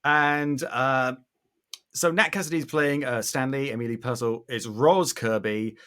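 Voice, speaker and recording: A man with a mid-pitched voice hosts a casual interview podcast. He is effusive and enthusiastic, and drops swear words easily into his chat. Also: measured pace, bright, forceful tone. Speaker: podcast host.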